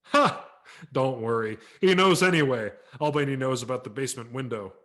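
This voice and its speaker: A man doing a gruff teasing dad. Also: mid-range voice, moderate pace, a bit bright, natural voice. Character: gruff blunt father, middle-aged man.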